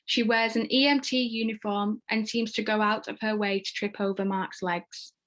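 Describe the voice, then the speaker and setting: A woman's voice: medium pitch, steady tone, moderate pace, fairly bright tone. A woman narrating screenplay stage directions at a table read. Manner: reads screenplay action descriptively.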